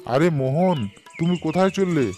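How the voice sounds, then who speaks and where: An actor voicing a friendly older village uncle in a cartoon, who chats warmly. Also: male voice, a little high, animated, moderate pace, soft background music, close to an everyday voice. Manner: friendly, praising.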